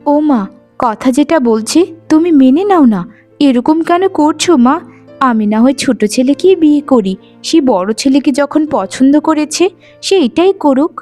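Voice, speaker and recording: A woman voicing a gentle, obedient young twin bride in a cartoon, meek and deferential. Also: high-pitched, expressive, normal speed, soft background music. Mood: pleading. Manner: meek, soft-hearted, deferential. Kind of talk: animated moral-tale voice acting.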